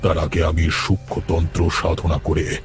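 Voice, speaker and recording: A man's voice: rumbling low, monotone, moderate pace, faint music behind. A man voicing the vengeful, sinister ghost of a murdered holy man in a horror cartoon. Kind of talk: cartoon horror drama acting.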